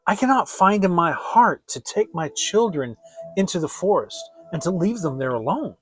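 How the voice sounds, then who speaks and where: Man acting a troubled woodcutter father, raised pitch. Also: very animated, normal speed, faint music behind, rough recording. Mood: anguished. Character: poor, anguished, soft-hearted woodcutter father.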